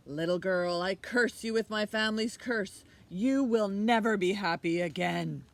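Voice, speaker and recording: A woman's voice: medium pitch, unhurried, creaky. A woman voicing a pompous, demanding male archduke from a storybook, declaiming imperiously, recorded outdoors. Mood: angry. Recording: outdoor recording with background noise.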